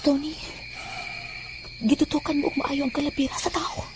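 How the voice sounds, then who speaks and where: A woman's voice: high-pitched, level tone, moderate pace, natural voice. A woman acting as an anxious, questioning character in a horror audio drama. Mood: uneasy. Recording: noisy, insects chirping behind.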